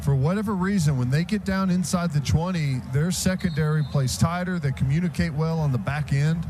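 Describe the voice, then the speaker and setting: A man's voice: a little high, brisk, noisy background. An American man giving conversational, explanatory football analysis as a radio color commentator. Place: stadium radio booth. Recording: live radio broadcast, loud stadium sound behind.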